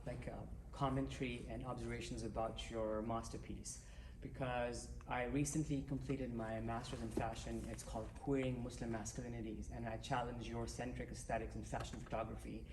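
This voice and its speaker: A man's voice: mid-range voice, little pitch movement, brisk. A man from South Asia in a theater audience, giving a long, rambling comment full of fillers. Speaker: audience member. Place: theater auditorium. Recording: roomy theater with hiss.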